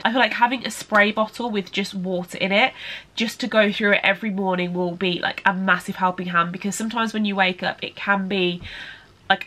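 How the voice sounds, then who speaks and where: A woman's voice: medium pitch, sharp tone. Young adult woman, beauty vlogger, nasal from a cold, chatty and rambling to camera. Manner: chatty, rambling, fillers like "like" and "whatnot". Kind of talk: casual vlog chat to camera.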